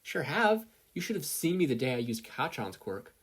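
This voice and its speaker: Someone reading as an eager teen hero boy, excitable, swoopy pitch, a bit husky. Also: normal speed. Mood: cheerful.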